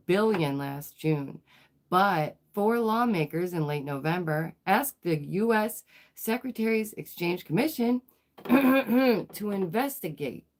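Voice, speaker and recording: Stuffy, nasal woman livestreamer. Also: fairly low pitch. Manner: emphatic, repeats phrases, fires rhetorical questions. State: stuffy, recovering from a cold. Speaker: livestream host. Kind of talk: live news commentary.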